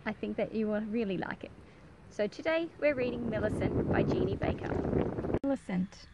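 A woman's voice: medium pitch, moderate pace, highs cut off, heavy background noise. A woman reading a picture book aloud and explaining it to children in a careful, teacherly way. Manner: careful, explanatory, enunciated for young listeners.

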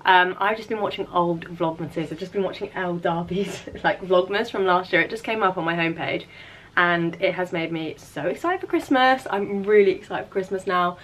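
A young woman talks to camera in a relaxed, rambling way, full of "um" and "like." Her voice is a little rough from a lingering cold. It's recorded on a camera held at arm's length in a bedroom at home. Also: lowish voice, moderate pace, poor audio. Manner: chatty, lots of "um" and "like". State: slightly sore throat from a cold. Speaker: vlogger. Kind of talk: casual chat to camera. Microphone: handheld camera, arm's length or mirror.

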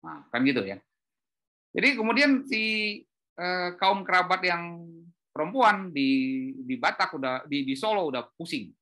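A man's voice: a little high, measured pace, pauses often. Middle-aged man explaining on a call. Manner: animated storytelling, acts out dialogue. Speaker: speaker at an export training webinar. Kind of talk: informal explanatory talk with analogies. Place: indoor room on a group video call.

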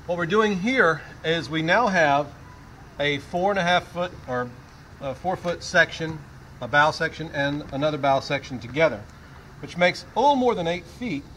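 A man's voice: fairly high pitch, animated, moderate pace, fairly bright tone, slight background noise. An older man standing in shallow water outdoors, explaining a boat kit in a casual, conversational way with frequent fillers. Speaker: product demonstrator. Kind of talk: casual product demonstration. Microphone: camera mic at medium distance.

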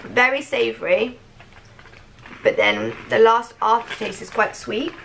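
Croaky, high-voiced young woman vlogger. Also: measured pace. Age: 20s. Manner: chatty, expressive. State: croaky from a cold and sore throat. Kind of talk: casual vlog chat. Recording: clear background noise.

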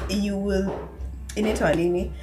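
A woman's voice: medium pitch, steady tone, unhurried, background noise. A woman in her twenties with a Kenyan accent, chatting casually and playfully to a handheld camera in her small apartment. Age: 20s. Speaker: lifestyle vlogger. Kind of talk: casual vlog chat, mixing English with Swahili. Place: small tiled studio apartment. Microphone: phone or camera at arm's length.

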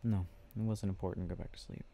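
A man speaking softly and drowsily in an ASMR boyfriend roleplay, murmuring with unhurried pauses. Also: low-pitched, flat delivery, slight background noise. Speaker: ASMR roleplay audio creator. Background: game clicking sounds.

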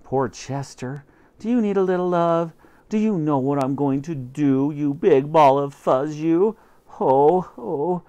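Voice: mid-range voice, unhurried, dark tone, clear, resonant tone, muffled recording, lightly acted. A teacher reading aloud and voicing a doting mother who coos over the family cat in a sing-song way. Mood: cooing.

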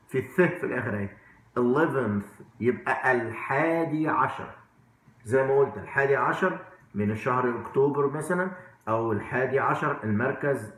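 A man's voice: medium pitch, moderate pace, fairly bright tone. Egyptian guy teaching english casually, repeats words a lot, asks questions, super echoey room. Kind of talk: informal whiteboard language lesson. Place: indoor room at a whiteboard.

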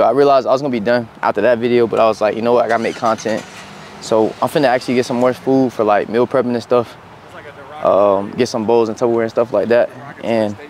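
A young man, chatting casually to viewers in a slangy, relaxed way as he walks through a store and a parking lot. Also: lowish voice, a little hiss or hum. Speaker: vlogger. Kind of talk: casual vlog chat. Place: outdoors in parking lots and inside a big store.

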